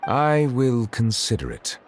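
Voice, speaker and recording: An actor playing a courteous, solemn and reserved chief judge in a video game, speaking formally and with measured care. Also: male voice, medium pitch, very animated, very noisy. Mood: courteous, composed.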